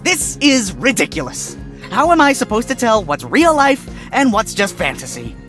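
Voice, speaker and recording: A wisecracking male fox attorney argues his case in a wry, quick voice that rises and falls a lot, as an actor plays him. Faint music plays underneath. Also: mid-range voice, very animated, fairly bright tone. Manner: quick, wry, exclaiming objections.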